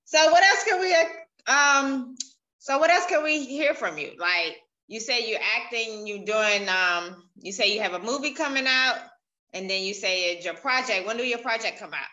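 A woman's voice: a little high, expressive, normal speed, bright, forceful tone, highs cut off. A young woman hosting a casual interview over a video call, asking questions and affirming her guest. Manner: encouraging, asks questions, affirms often. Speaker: interview host. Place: indoors in a room.

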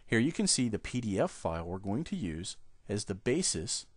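A man explaining software steps one at a time in a screen-recorded tutorial, speaking at his computer. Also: on the low side, moderate pace, no room sound. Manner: steady step-by-step explaining. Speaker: software trainer.

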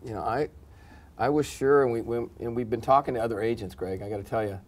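A man's voice: medium pitch, darkish tone. Middle-aged guy acting as a seller, hesitant, stops and starts, in a meeting room. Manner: hesitant, halting. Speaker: mock home seller. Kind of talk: role-play conversation. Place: office conference room.

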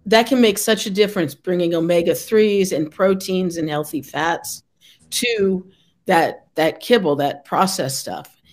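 Middle-aged woman hosting a video interview. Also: lowish voice. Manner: friendly, quick affirmations and opinions. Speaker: host. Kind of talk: casual interview chat. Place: home room with brick wall. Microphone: video call.

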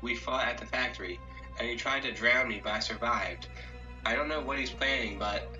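Man doing a bossy nerd teen boy, muffled, far off mic, loud music behind. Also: mid-range voice, normal speed. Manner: earnest and bossy, swears. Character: nerdy teen boy genius, bossy and driven. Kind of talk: fan abridged parody dub.

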